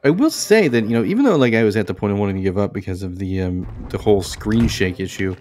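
A man's voice: fairly low pitch, lively intonation, quick pace, a little mellow, a little hiss or hum. A man giving casual video game commentary, rambling and thinking aloud as he plays. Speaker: gaming video host. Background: game music.

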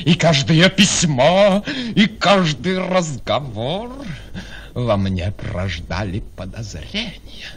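An actor playing a proud, jealous gentleman in a verse radio play, with a declamatory, dramatic delivery. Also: medium pitch, a little hiss or hum. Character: proud, jealous gentleman, scornful of a compromised bride.

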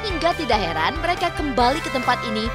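A woman reading a scripted news voice-over in a lively, promotional way. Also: high voice, fast talker. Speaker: news narrator. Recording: voice-over over loud music.

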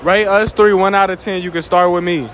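Young man, handheld mic, mall interview, slangy, easygoing, slightly high voice. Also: fairly even intonation, a little fast, fairly bright tone, a little hiss or hum. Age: young adult. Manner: easygoing, repeats answers back as questions, slangy. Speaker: street interviewer. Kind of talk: casual public interview. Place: indoor shopping mall.

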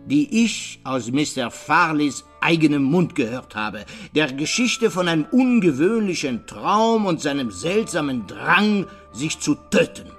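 An actor playing a shrewd, self-assured older male detective in a German audio drama, speaking deliberately and theatrically with rhetorical questions. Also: on the high side, big pitch swings, fairly bright tone, soft background music, full character voice. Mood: suspenseful, explaining. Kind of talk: German radio play or audiobook acting.

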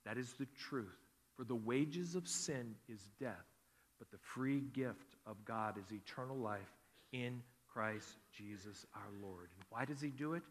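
A man's voice: mid-range voice, expressive, measured pace. Preaching man in a reverberant church. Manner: earnest preaching, swells then drops to soft emphasis. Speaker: pastor. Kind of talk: sermon. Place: church sanctuary. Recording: long hall reverb.

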